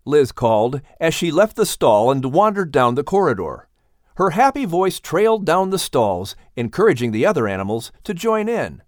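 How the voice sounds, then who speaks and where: A man reading a children's audiobook as its narrator, in an animated storytelling style. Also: on the high side, normal speed, no room sound, clean studio sound.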